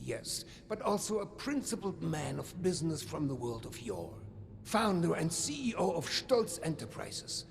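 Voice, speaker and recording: A man's voice: on the high side, gravelly, a little hiss or hum. An actor playing a suave, pompous older German vault overseer and businessman in a video game, courtly and flamboyant in manner. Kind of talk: scripted video game dialogue.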